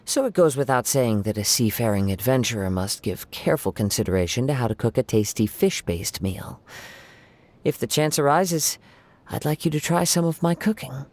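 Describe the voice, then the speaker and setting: An actor voicing a calm young male wandering samurai poet in a game, speaking softly, gently and reflectively. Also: medium pitch. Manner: soft-spoken, gentle and reflective. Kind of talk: game character voice lines.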